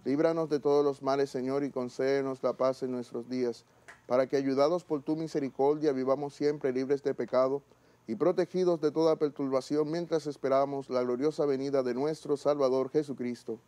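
A man in his thirties, a priest, reciting the eucharistic prayer at mass in a measured, solemn way, in a small chapel studio. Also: mid-range voice, fairly even intonation, dark tone.

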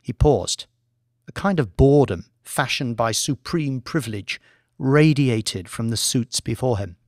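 A man reads scripted fiction as an audiobook narrator. His voice sits at a middle pitch, recorded close with a very dry sound. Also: lively intonation, measured pace, a little mellow, dry recording.

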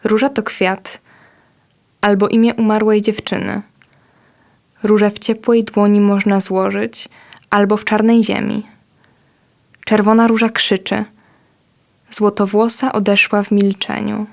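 A woman reciting a poem in a slow, solemn manner. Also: medium pitch, level tone, creaky. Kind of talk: poetry reading.

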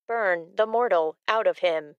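A woman's voice: mid-range voice, measured pace, smooth, dry recording, lo-fi sound. A woman gives scripted factual asides as a co-narrator. Her mid-range voice is recorded close and dry, with no room sound.

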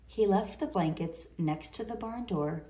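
A woman reading a children's picture book aloud in an expressive, deliberate storytelling manner. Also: mid-range voice. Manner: slow, deliberate, animated delivery for children. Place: indoor room. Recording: roomy indoor echo.

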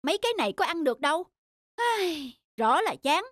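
Whiny little cartoon demon. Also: male voice, very high-pitched, big pitch swings, normal speed, fairly bright tone, no room sound, studio quality, heavily acted. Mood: grumbling, eager. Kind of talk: cartoon voice acting. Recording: background music under the dialogue.

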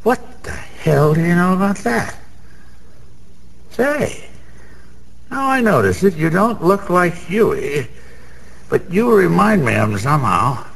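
Gravelly, boastful boozy Broadway gambler. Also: male voice, high-pitched, big pitch swings, takes it slowly, long pauses, a bit bright, exaggerated voice. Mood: familiar, surprised. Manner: slangy, chuckling, rambling, streetwise. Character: boozy, boastful, wisecracking middle-aged Broadway small-time gambler. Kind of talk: 1990s radio drama acting. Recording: reverberant hall, faint background noise.

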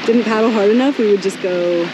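A woman's voice: medium pitch, brisk, noisy background. A woman from the United States chatting casually and jokingly on a tropical beach.

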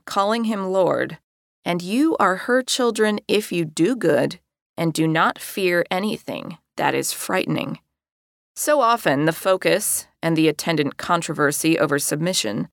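Woman reading an audiobook, low-pitched. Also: vocal fry, dry recording, studio quality. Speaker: author reading her own audiobook. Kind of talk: scripted audiobook narration.

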